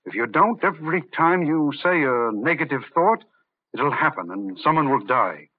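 An actor playing an intense, rational but rattled doctor in an old-time radio drama, speaking urgently and emphatically. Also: male voice, on the high side, expressive, moderate pace. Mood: alarmed. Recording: muffled narrow-band old radio recording.

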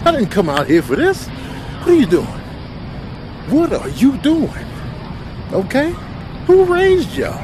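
A man's voice: very high-pitched, wildly swooping pitch, unhurried, long pauses, over music, exaggerated voice, rough recording. A man voicing an exasperated, self-important dog in a comedic animal voiceover, stammering and repeating himself indignantly.

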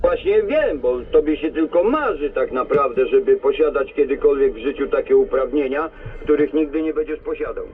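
A man's voice: mid-range voice, moderate pace, far from the mic. A man in a profane, lecturing rant among drivers over CB radio. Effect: CB radio transmission. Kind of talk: ranting casual CB radio chat. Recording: narrow, noisy CB radio in a vehicle.

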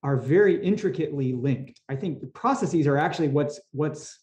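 A man's voice: on the high side, animated, moderate pace, a little mellow. A man speaking as a podcast guest, thoughtful and given to restarting his sentences. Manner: thoughtful, restarts and repeats words often. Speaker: podcast guest, company CEO. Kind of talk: conversational interview answers. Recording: roomy echoey room sound.

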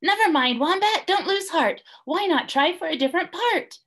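A woman's voice: extremely high pitch, animated, normal speed, fairly bright tone, voice pushed up, full character voice. A woman voicing a kindly, encouraging little animal friend in a storybook, with a singsong delivery. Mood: consoling, encouraging. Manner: singsong, consoling.

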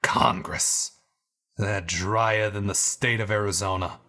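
A wheeling-dealing Prohibition fixer played by a man in an audio drama. He talks slowly and genially in a lowish voice, taking his time to persuade. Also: steady tone, sharp tone. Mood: amused, persuasive.

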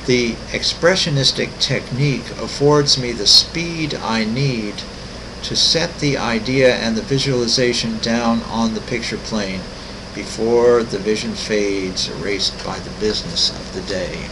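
A man in his sixties, an artist walking through his website, talking casually with many ums, pauses and restarts. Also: mid-range voice, noisy background. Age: 60s. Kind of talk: casual screen-recorded walkthrough.

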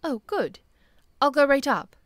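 A woman's voice: high voice, lively intonation, a little slow, no echo. A woman playing a kindly, gracious bishop's wife in an audio drama, polite and affectionate. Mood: cheerful, affectionate. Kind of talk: film-adaptation audio drama acting.

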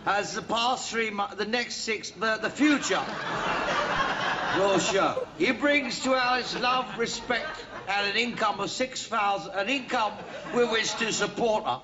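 A man's voice: high voice, measured pace, background noise. An actor playing an older, tipsy father of the bride who gives a rambling wedding toast, slurring and tripping over words in a TV sketch. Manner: slurring, stumbling over words, self-correcting malapropisms. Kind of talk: 1980s TV sketch comedy. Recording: dull old TV sketch with loud audience laughter. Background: studio audience laughing.

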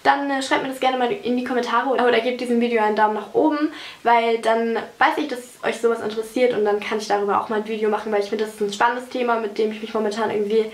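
A woman's voice: a little high, fairly even intonation, fast, echoey room. A young woman vlogging from her bedroom, chatting casually and rambling with lots of fillers while she bakes. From Germany. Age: young adult. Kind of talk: casual chat while baking. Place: bedroom at home, indoors.

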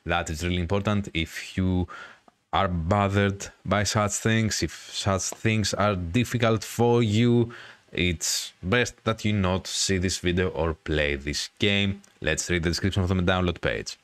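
A man hosting a gaming video, reading a visual novel aloud in a dramatic, drawn-out storytelling way with casual commentary. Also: deep voice, steady tone, clear, resonant tone, dry recording.

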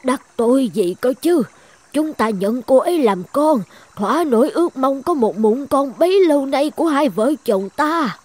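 High-voiced kindly old village wife. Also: female voice, expressive, moderate pace, darkish tone, dry recording. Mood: hopeful, coaxing. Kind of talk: animated folk tale dubbing.

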